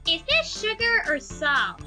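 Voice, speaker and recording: A woman voicing Candy, a naive, hesitant little cartoon pony girl in an animated storybook drama. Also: very high-pitched, measured pace, soft background music, exaggerated voice. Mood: nervous.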